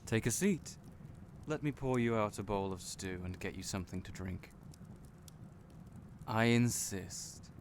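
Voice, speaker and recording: Man voicing a young male innkeeper, genial, playful, low-pitched, fantasy audio roleplay, noisy storm and fireside ambience. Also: close to an everyday voice. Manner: chatty, playful, genial storyteller. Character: friendly young male night innkeeper, teasing, observant, fantasy. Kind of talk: immersive audio roleplay, addressing the listener. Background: storm and crackling fire ambience.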